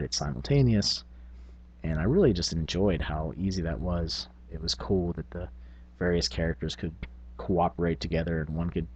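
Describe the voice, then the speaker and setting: Guy w a really low husky voice just rambling casually for his gaming vlog. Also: darkish tone, muffled recording. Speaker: tabletop gaming video host.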